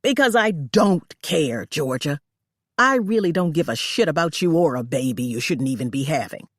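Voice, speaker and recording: A woman reading aloud, voicing a cruel wealthy female employer who yells and screeches at her maid. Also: animated, normal speed, no room sound, clean studio sound. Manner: shrill, shouting.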